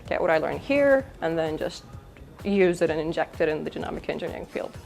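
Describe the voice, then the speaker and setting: A woman's voice: deep voice, lively intonation, fairly quick, vocal fry, darkish tone. Woman, 30s, course participant, interview clip, eager answers, music bed behind.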